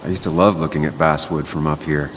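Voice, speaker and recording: A very deep-voiced brooding loner. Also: male voice, steady tone, fast, slight background noise. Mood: brooding, reflective. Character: brooding, melancholy male former journalist, solitary and cynical. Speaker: game protagonist narrator. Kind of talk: introspective game voice-over monologue. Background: music.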